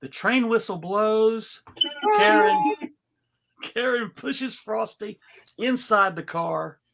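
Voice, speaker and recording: A person reading stage directions aloud from a script in a measured, wry way. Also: mid-range voice, very animated, vocal fry, noisy background.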